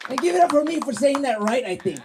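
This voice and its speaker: A man from Hawaii performing a stand-up comedy set in a club, loud and playful and laughing at his own jokes. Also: very high-pitched, big pitch swings, fast talker, vocal fry. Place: comedy club stage with audience. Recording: live club with crowd noise.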